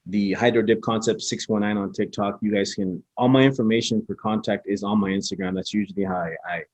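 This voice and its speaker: Husky low-voiced man, halting and laid-back. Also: steady tone, darkish tone, no room sound. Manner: halting, laid-back, full of restarts and fillers. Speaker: interview guest, small business owner. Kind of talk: casual interview chat.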